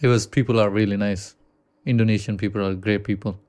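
A man with an Indian accent hosting a casual podcast chat, talking loosely with frequent swearing and halting restarts. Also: fairly low pitch, steady tone, a little mellow. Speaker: podcast host.

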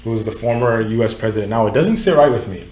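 A man's voice: fairly low pitch, animated, quick pace, darkish tone. Young guy hosting a chill group podcast, keeps correcting himself, echoey room. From Nigeria. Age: 20s. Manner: conversational, self-correcting, lots of "you know what I'm saying". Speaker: podcast host. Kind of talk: casual group podcast chat.

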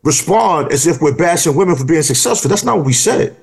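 A man's voice: fairly high pitch, animated, a little fast, creaky. A man co-hosting a podcast, arguing his points firmly in casual conversation. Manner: argumentative, stresses points firmly. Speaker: podcast co-host.

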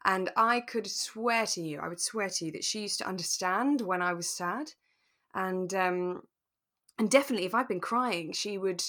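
A British woman co-hosting a podcast in relaxed, casual chat, with an animated, emphatic, giggly way of talking. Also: mid-range voice, moderate pace. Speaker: podcast co-host. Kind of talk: relaxed casual chat about a radio drama.

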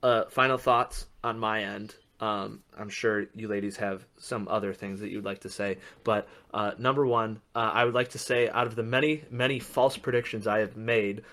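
A man's voice: fairly low pitch, steady tone, normal speed, hardly any pauses, bright, forceful tone. A young man co-hosting a casual podcast, chatty and joking, with plenty of fillers. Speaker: podcast co-host. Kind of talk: casual chat.

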